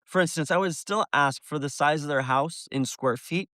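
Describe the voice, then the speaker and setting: A man's voice: on the high side, moderate pace, sharp tone, no room sound, studio quality. A man presenting an instructional video, explaining things step by step and addressing the listener directly. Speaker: window cleaner presenting a business tutorial. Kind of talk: instructional video narration to viewers.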